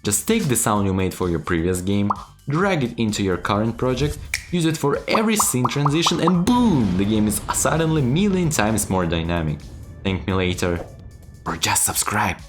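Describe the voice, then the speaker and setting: A man speaks over clear background music. His delivery swings up and down a lot as he hands out sarcastic tips, playful and mock-serious, leaning hard on his key words. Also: fairly low pitch, big pitch swings, normal speed. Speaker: video creator giving sarcastic game-making tips. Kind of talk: tongue-in-cheek video tutorial narration.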